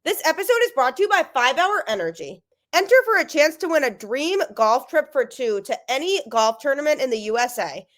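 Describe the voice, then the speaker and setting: A woman's voice: sits high, a little fast. A young woman hosting a sports podcast, talking energetically with a stuffy voice from a cold. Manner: energetic, emphatic. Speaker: podcast host.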